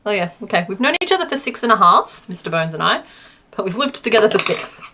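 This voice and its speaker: A woman's voice: medium pitch, very animated, fast talker, noisy background. A woman chatting casually on a crochet livestream, rambling with many fillers and reading chat aloud. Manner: reads chat aloud and counts stitches quietly. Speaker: crochet streamer.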